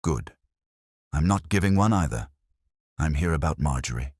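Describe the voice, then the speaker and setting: A man's voice: extremely low pitch, animated, moderate pace, a little mellow, slightly rough, no room sound, clean studio sound, full character voice. A man voicing a wry, probing male private investigator in a detective audiobook, sardonic and pressing.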